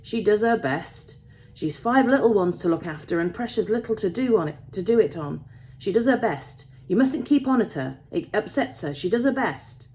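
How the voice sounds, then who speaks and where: A kind young working man from a storybook as a woman narrator puts him on, protective and earnest. He speaks Yorkshire-accented dialect in a coaxing way, with pitch that rises and falls freely. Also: lively intonation, normal speed, a little mellow, a little hiss or hum, natural voice. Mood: pleading, defensive. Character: kind young male tin miner, protective, reassuring.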